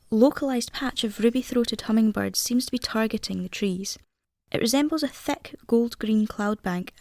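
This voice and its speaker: A woman playing a capable field naturalist who radios in a scripted report for a fiction podcast, brisk and matter-of-fact. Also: on the high side, gravelly, darkish tone, dry recording, clean studio sound.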